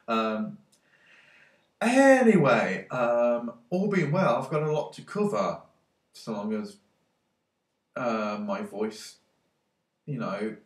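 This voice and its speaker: A man's voice: lowish voice, vocal fry, far from the mic. A man with a cold talks in a rambling, hesitant way, congested and full of ums. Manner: hesitant, many ums and restarts. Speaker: tech vlogger. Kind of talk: casual rambling monologue. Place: his own room. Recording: echoey room, voice away from mic.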